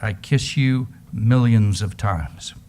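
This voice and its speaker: A man's voice: on the low side, slow pace, darkish tone. A man giving a theatrical reading of an affectionate letter. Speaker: reader in an amateur readers theater. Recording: background noise, live room ambience.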